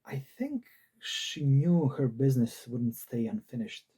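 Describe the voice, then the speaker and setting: Man reading as husky, calm, wry woman. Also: mid-range voice, measured pace. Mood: gentle, wry. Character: Katrina, calm wry sad woman, grandmother's friend.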